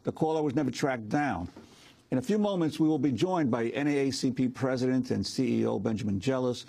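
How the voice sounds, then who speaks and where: A man with a husky voice reading scripted news as a presenter. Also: medium pitch, fairly quick, dark tone.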